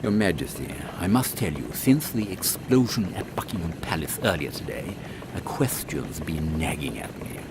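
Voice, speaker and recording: A man's voice: low-pitched, animated, moderate pace. A man playing an earnest, dutiful and easily astonished captain in a steampunk audio comedy, speaking formally. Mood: suspicious, formal. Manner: formal, blustering, exclamatory. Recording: loud airship engine noise behind.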